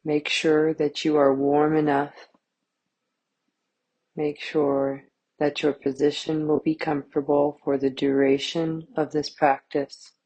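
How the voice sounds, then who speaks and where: Very slow-paced woman guiding meditation. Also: sits low, rough recording. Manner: calm, soothing, measured instruction. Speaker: yoga nidra guide. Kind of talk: guided meditation, scripted.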